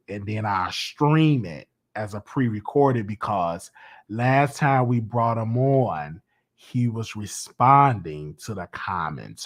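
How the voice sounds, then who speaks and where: Chatty man hosting a live call-in stream. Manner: animated, pitch jumps high when excited. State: losing his voice. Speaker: host. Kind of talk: casual live call-in show.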